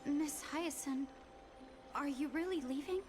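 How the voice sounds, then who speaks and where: Nervous polite young apprentice healer in a game, high hesitant voice, music under it. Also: female voice, unhurried. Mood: anxious. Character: anxious young female apprentice healer, deferential. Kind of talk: dramatic video game voice acting.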